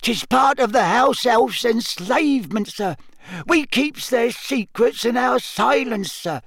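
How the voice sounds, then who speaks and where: Squeaky little house elf, loyal n jittery, pitch jumps around, broken grammar, audiobook. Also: male voice, animated, slow, creaky, a bit bright, no room sound, studio quality, heavily acted. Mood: earnest. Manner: broken third-person grammar, servile. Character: small squeaky male house-elf, eager, loyal, nervous. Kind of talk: audiobook character acting.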